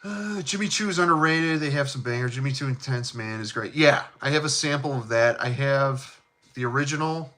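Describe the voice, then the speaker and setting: Bright-voiced Chicago South Side man. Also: mid-range voice, brisk. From Chicago, USA. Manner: laid-back, rambling, chummy, with fillers and laughs. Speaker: fragrance channel host. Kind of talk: casual live chat.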